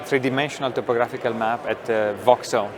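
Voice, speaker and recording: A middle-aged man, a company founder explaining technology in an interview, thoughtful with many pauses and fillers. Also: mid-range voice, steady tone, a crowd in the background. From Italy. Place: trade show booth studio.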